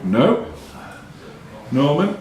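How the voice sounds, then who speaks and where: A man hosting a quiz, reading questions deliberately and giving short, curt rulings on the answers. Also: a little high, big pitch swings, a little mellow, soft background music. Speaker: quizmaster. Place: indoors in a pub. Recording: very echoey room with pub crowd. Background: clear crowd chatter.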